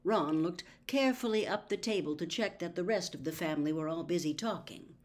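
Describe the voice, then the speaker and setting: A woman reading a story aloud in an expressive narrating style. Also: fairly low pitch, fairly quick. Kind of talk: expressive audiobook-style narration with character voices.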